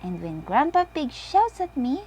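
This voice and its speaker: A woman voicing an excitable, playful little girl pig from a children's storybook, in an eager manner. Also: high-pitched, measured pace, a little hiss or hum, voice pushed up, exaggerated voice.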